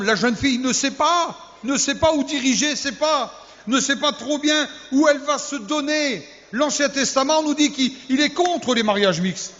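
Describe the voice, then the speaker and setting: High-voiced preacher in an echoey hall. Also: male voice, fairly bright tone. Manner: humorous, teasing, full of rhetorical questions; repeats key words for emphasis. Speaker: youth pastor. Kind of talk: sermon to young people. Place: church hall. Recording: echoey hall, dull lo-fi sound.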